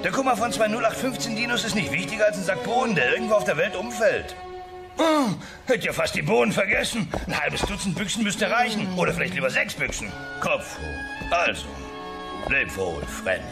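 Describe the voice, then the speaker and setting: Cartoon dinosaur hero, creaky, animated, earnest, bumbling, melodramatic, music behind. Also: male voice, sits high, fairly quick, exaggerated voice. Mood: melodramatic farewell. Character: earnest, anxious, goofy family-man dinosaur hero. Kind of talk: German dubbed cartoon audio play.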